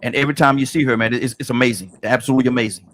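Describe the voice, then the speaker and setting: A man hosting a call-in talk show in a relaxed, chatty way, often restarting his sentences. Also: mid-range voice, very fast, a little hiss or hum. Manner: laid-back, stammering restarts, frequent "man". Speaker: radio show host. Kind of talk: casual call-in show chat.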